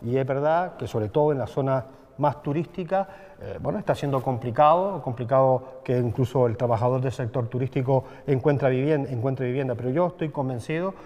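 A man gives interview answers in a hesitant way, pausing on fillers as he speaks. His voice has a middle pitch. It carries a long room reverb. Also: lo-fi sound. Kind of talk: interview statements.

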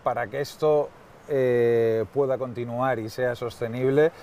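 A man running an eco-tourism farm, speaking in a thoughtful way with pauses. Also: medium pitch, steady tone, dark tone, recorded from a distance, slight background noise. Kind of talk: interview statements.

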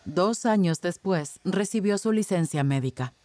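A woman's voice: fairly low pitch, lively intonation, a little slow, mellow. A woman reading scripted narration as the narrator of a documentary.

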